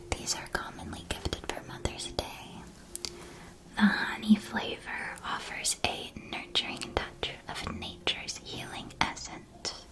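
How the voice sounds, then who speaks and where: Casting: woman playing a friendly witch-world candy shopkeeper, ASMR roleplay, whispered throughout, gentle and very unhurried. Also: mid-range voice, lightly acted. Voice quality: soft, breathy whisper. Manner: gentle, unhurried sales patter. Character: friendly female shopkeeper in a magical candy shop.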